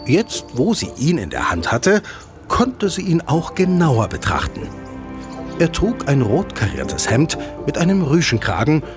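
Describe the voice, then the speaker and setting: A man narrating a scripted children's audio drama as an expressive storyteller with deliberate phrasing. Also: fairly high pitch, music in the background.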